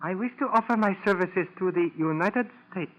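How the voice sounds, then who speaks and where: Actor as a high-voiced Japanese spymaster, lo-fi. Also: male voice, moderate pace, lightly acted. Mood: falsely humble. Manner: stilted clipped phrasing, formal. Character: scheming Japanese secret society leader, posing as humble informant. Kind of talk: 1940s radio acting. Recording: dull, lo-fi 1940s radio recording.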